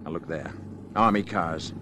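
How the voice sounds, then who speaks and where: An actor in an old radio drama playing a composed alien visitor in human form, solemn and measured. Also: male voice, low voice, noisy background. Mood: guarded, worried.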